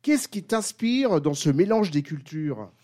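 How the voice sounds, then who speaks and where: A man's voice: fairly high pitch, big pitch swings, unhurried, mellow. A man hosting an interview, reading his introduction and then asking questions with fillers. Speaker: host.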